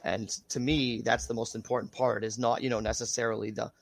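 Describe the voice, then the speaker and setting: Man, raspy from illness, gravelly, hesitant, lots of fillers, dry sound. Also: mid-range voice, little pitch movement, a little fast, dry recording. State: raspy after being sick. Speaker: researcher guest. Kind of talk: casual interview talk.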